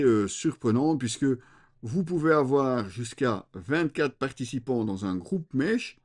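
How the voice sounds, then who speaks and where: Mellow-voiced man reviewing gear. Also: medium pitch. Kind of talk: unscripted product review, presenting to viewers.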